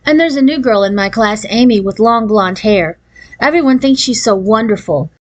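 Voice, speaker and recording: A woman's voice: a little high, expressive, normal speed. A woman voicing an upset, doubtful fifth-grade girl, plaintive and hesitant, in a children's storybook reading.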